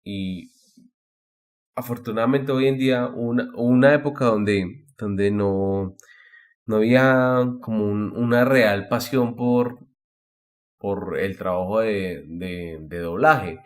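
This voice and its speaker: A man hosting a casual podcast chat about anime, explaining things in a rambling, halting way with repeated words. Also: fairly low pitch. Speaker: podcast host.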